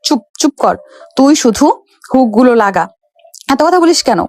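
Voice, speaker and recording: A woman's voice: high-pitched, animated, moderate pace, a little mellow. A woman playing a proud young rich bride in a romantic audio story, bossy and flustered.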